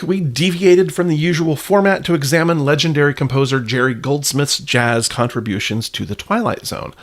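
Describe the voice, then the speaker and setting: A man's voice: on the high side, a bit bright. A man hosting a podcast with casual, partly scripted commentary in a playful, self-deprecating manner. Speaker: podcast host.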